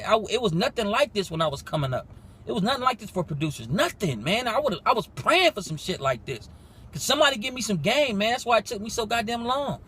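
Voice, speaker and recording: Fast-talking, high-pitched young man. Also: breathy edge. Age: 20s. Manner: animated, profane, rapid slangy talk. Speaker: music producer and coach. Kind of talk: casual motivational pitch. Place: in a car.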